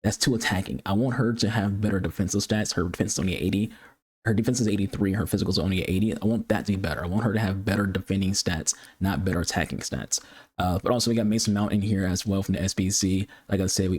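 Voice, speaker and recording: Male gaming creator, lowish voice, rapid cluttered delivery, frequent restarts, casual review talk. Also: husky. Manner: fast, cluttered speech with repeated words; excitable high-pitched greeting. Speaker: gaming YouTuber. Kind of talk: casual game review commentary.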